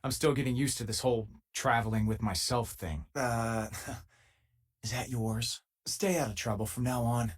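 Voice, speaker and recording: An actor playing an easygoing, wisecracking spacefaring companion in a video game, talking in a casual, wry, conversational way. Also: male voice, mid-range voice, fairly even intonation, moderate pace, natural voice. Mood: amused, casual. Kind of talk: video game voice acting, conversational companion lines and combat callouts.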